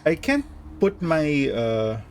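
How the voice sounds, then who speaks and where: A man's voice: fairly high pitch, wildly swooping pitch, slow pace, a little mellow, slight background noise. A man giving casual reaction commentary as host, rambling and thinking aloud, often checking with a tag question.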